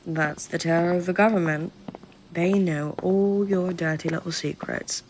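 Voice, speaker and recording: A woman's voice: fairly low pitch, steady tone, unhurried, darkish tone, slight background noise, low-quality recording. Sardonic, impatient actor as a woman researcher. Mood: scornful. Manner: sardonic, impatient, bluntly insulting. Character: curt, condescending young female government monster researcher. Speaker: researcher guiding a new recruit. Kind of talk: audio roleplay acting, addressed to listener.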